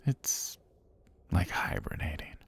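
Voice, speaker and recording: A man voicing an ancient giant serpent god in an ASMR fantasy roleplay, languid and sinister, with formal, amused, softly menacing speech and a husky, breathy, gravelly voice. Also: takes it slowly.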